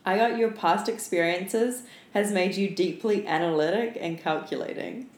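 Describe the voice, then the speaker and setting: A woman's voice: mid-range voice, normal speed, a little mellow. A tired woman co-hosting a casual podcast, talking in a dry, informal way. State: tired after staying up all night. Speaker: podcast co-host. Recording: roomy echo.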